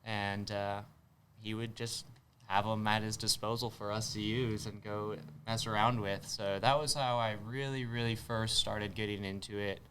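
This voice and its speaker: A man's voice: on the low side, steady tone, normal speed, a bit bright, slight background noise. A man in his twenties from south Texas with an American accent, chatting casually and hesitantly as an interview guest. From south Texas, United States. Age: 20s. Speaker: guest, spearfisher.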